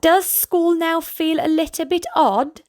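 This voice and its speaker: A woman reading a children's picture book aloud as a storyteller, in a gentle, deliberate manner addressed to young listeners. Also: very high voice, steady tone, no room sound. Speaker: children's storybook reader, channel host. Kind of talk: read-aloud bedtime story narration for children.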